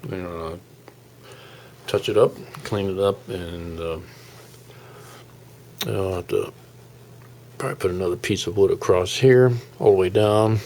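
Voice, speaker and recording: A man giving a casual, unscripted hands-on demonstration as a hobbyist, explaining in a halting, rambling, folksy way. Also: deep voice, a little mellow, slight background noise. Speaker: hobbyist radio restorer presenting his project.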